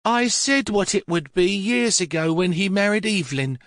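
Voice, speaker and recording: A man voicing a frail, furious old mother who is petulant, shrill and quavering, then pleads in a childish way. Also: animated, normal speed, a bit bright, no room sound, studio quality. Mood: pleading. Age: older.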